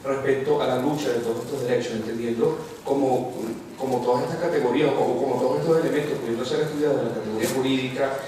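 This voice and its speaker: Halting man from Venezuela, echoey hall. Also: mid-range voice, fairly even intonation, mellow, a little hiss or hum. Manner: halting, repeats words while searching for phrasing. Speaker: audience member asking a question. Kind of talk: formal question from the floor. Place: university lecture hall. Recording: distant, very echoey lecture hall.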